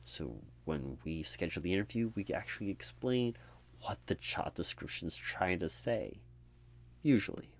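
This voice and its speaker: A man with a very low voice and heavy vocal fry plays a recruiter in a chatty ASMR roleplay. He rambles on with little energy, sounding apathetic and jaded, with a sardonic edge. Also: animated, normal speed. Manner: rambling, sardonic asides mimicking corporate talk. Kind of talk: conversational ASMR roleplay monologue.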